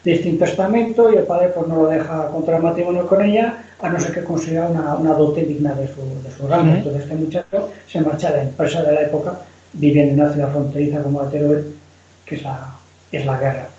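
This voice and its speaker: Spanish novelist, roomy dull recording. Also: male voice, fairly high pitch, steady tone, quick pace, dark tone. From Spain. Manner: rambling, frequent restarts and repeated words. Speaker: novelist guest. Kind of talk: casual conversation on history. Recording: dull lo-fi sound in an echoey room.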